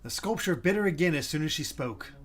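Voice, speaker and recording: A man's voice: fairly high pitch, animated, brisk. A man co-hosting a game stream, reading the story aloud as narrator with casual asides. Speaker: guest co-host of a game stream. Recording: clear background noise.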